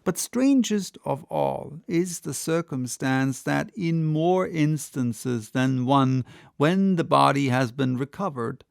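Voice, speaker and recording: A man reading classic literature as an audiobook narrator, with measured, dramatic storytelling. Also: medium pitch, creaky, clean tone, no echo, clean studio sound.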